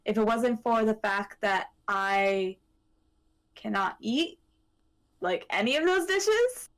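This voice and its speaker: A woman co-hosting a podcast, explaining her thoughts haltingly in casual discussion. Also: fairly high pitch, lively intonation, low-quality recording. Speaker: podcast co-host.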